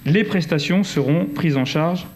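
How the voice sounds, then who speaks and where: A man's voice: on the high side, unhurried, darkish tone. A man with a French accent presenting formally as a local councillor, partly improvised, in a wordy, digressive, self-correcting manner. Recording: faint background noise.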